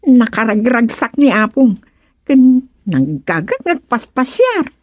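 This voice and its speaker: Chatty granddaughter for village radio comedy: high voice, lively swings, expressive delivery. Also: female voice, takes it slowly, no echo, exaggerated voice. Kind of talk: comedic radio serial acting.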